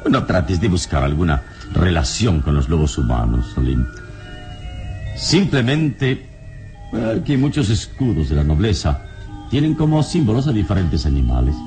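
An actor playing a calm, erudite adventurer hero in an old-time radio drama, speaking in a measured, deliberate, didactic way. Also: male voice, deep voice, music in the background. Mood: calm, instructive.

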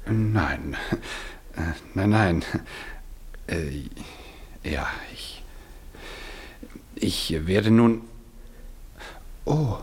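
An actor playing a nervous, jealous suitor in a German radio play, halting, evasive and repeating his words. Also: low voice, expressive, a little mellow, husky, full character voice. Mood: embarrassed, hesitant.

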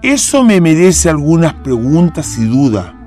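A man playing a grave Chilean president in a radio play. He speaks slowly and formally with big swings of pitch, probing like a statesman, over faint music. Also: very animated, mellow. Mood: measured, inquiring. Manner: deliberate, formal, theatrical. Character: grave, probing male president questioning his adviser. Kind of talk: historical radio drama acting.

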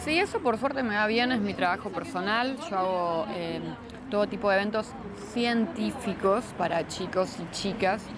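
A woman with an Argentine Rioplatense accent talks in an informal, slangy and opinionated way as an interview guest. Her pitch is average, and a busy crowd with urban noise is clearly audible around her. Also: moderate pace. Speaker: interviewed guest, children's science entertainer and writer. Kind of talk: casual chat, answering interview questions. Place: busy brewery bar hosting an event. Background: crowd and street noise.

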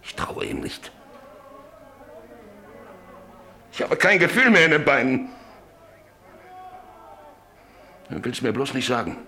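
An actor playing a bitter, bedridden middle-aged father in a radio drama, brooding and halting, repeating his phrases. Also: medium pitch, wildly swooping pitch, sharp tone, exaggerated voice. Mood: anxious, despairing. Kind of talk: German radio drama acting. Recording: hissy old radio drama recording.

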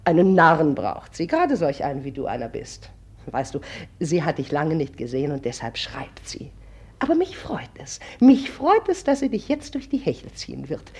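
A woman's voice: sits low, sing-song, moderate pace, a little mellow, husky, exaggerated voice. An actress playing a domineering middle-aged mother who brusquely scolds and commands, in 1950s radio play style. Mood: scolding. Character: bossy domineering mother of grown daughters.

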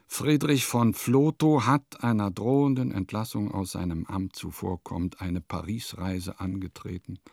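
An actor playing a vain, eccentric composer who muses theatrically and pompously in a radio play monologue. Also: male voice, lowish voice, fairly even intonation, unhurried, creaky, few pauses, darkish tone, no room sound, studio quality. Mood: confiding. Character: vain, eccentric male composer musing in his diary.

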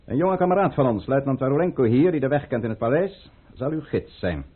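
A husky aristocratic conspirator in an old, narrow-band radio drama. He gives orders in solemn, formal oratory with a very mobile pitch, cool and calculating. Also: lively intonation, quick pace. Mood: solemn, commanding. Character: commanding, calculating aristocratic count leading a conspiracy. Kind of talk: Dutch radio play acting. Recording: thin, phone-like old radio recording.

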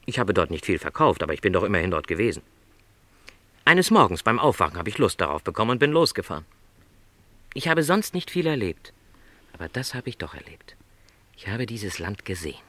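An actor playing a lonely middle-aged travelling salesman in a radio play, a gentle, resigned dreamer with a reflective, apologetic way of talking. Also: on the low side, sing-song, brisk, pauses often, no room sound, full character voice. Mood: dreamy, defending. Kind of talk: literary radio play dialogue.

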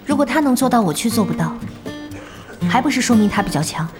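A woman's voice: fairly high pitch, lively intonation, fast, lightly acted. A woman playing a stubborn, determined young novice gamer in an audio drama, with a resolute manner. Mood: curious. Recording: music bed under the dialogue.

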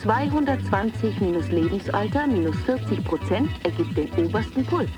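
Loud music, actress doing gym class instructor lady in a radio play, very lively pitch, calling out moves. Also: normal speed, natural voice. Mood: instructing. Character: female fitness instructor calling exercises and formulas. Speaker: fitness instructor. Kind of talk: radio drama.

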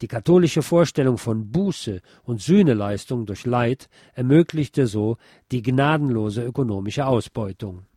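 A man's voice: medium pitch, lively intonation, a little slow, mellow. A man reading a history book aloud as scripted narration for a podcast. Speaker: podcast host and reader.

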